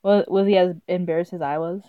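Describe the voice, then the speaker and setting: A woman's voice: fairly low pitch, fairly even intonation, quick pace, no room sound. Giggly woman streamer, slightly dull sound. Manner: giggly, amused, often stumbling over reading. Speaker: game streamer. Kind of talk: casual live playthrough, reading visual novel text aloud. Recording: slightly dull sound, game music behind. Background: game music.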